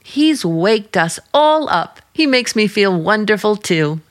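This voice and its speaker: A woman's voice: medium pitch, very animated, takes it slowly. An American actress playing an earnest, restless young small-town woman in a radio drama. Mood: wistful, admiring. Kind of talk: old-time radio drama acting.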